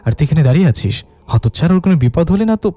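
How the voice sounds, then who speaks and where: A bossy, worried woman acted by a male storyteller scolds with a sharp tongue. The voice has a very dark tone and a husky grain, as in a dramatized tale. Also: a little high, very animated, very fast, dry recording, voice pushed up. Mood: scolding, alarmed.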